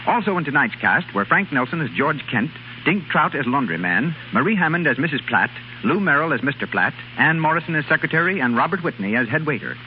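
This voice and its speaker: American male announcer, old 30s radio, talks fast with big swoops, muffled hissy sound. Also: a little high, very animated.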